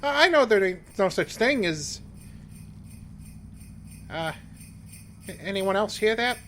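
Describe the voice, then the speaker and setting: A man's voice: high voice, expressive, slow pace, fairly bright tone, faint music behind, exaggerated voice. An actor playing a simple-hearted, anxious sidekick in a radio play, hesitant, stammering and folksy in his earnest talk. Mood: nervous wonder.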